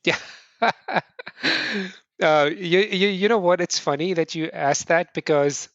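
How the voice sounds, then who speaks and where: A middle-aged man from India, answering interview questions earnestly in quick bursts and often restarting with "right?". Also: high-pitched, a bit bright, no echo, lo-fi sound. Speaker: podcast guest.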